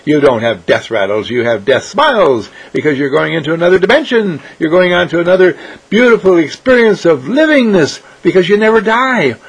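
A man voicing a giddy, cheerful female hospital clown in a high, exaggerated, giggly sing-song. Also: animated, fairly quick, slightly rough, rough recording. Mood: coaxing.

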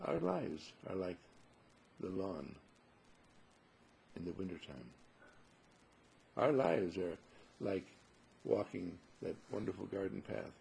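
A man speaking as a spiritual teacher in a husky, gravelly voice, posing rhetorical questions and repeating phrases for emphasis. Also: low voice, sing-song, takes it slowly, pauses often, a little mellow, a little hiss or hum. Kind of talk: spiritual talk with parables.

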